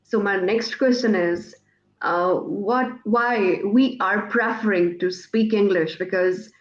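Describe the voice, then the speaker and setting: Pakistani woman host, urdu native, talks really slow, hunts for words, echoey muffled call. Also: medium pitch. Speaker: host, interviewer. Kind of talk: online interview questions.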